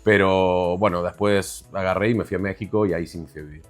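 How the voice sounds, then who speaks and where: Guy in his 40s, real low voice, lots of ehs and restarts, faint music behind. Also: steady tone, fast talker. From Argentina. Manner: hesitant, many fillers and restarts. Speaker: interview guest. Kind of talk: conversational interview.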